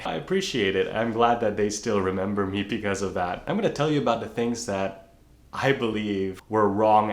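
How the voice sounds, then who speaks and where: A man who grew up in Indonesia, telling a story in a relaxed, self-deprecating vlog while out on a run. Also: on the low side, reverberant. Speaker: vlogger. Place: outdoors on a nice day.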